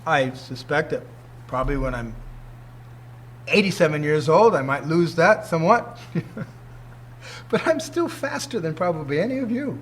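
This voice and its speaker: A man's voice: on the high side, lively intonation, normal speed. Emphatic older man teaching students. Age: 60s. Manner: emphatic, rhetorical questions, laughs often. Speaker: martial arts teacher. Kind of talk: informal teaching talk to students.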